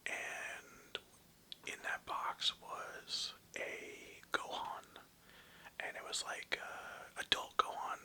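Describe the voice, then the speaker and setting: An ASMR host speaks in a breathy whisper with almost no voicing. The sound is very bright and sibilant. The delivery is a casual, hesitant ramble, like showing things to viewers at home. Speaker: video host presenting a toy collection.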